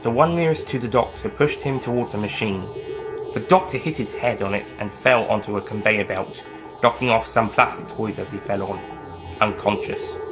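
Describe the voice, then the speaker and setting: A man's voice: on the low side, poor audio. A man reading a scripted science-fiction adventure story as its author and narrator.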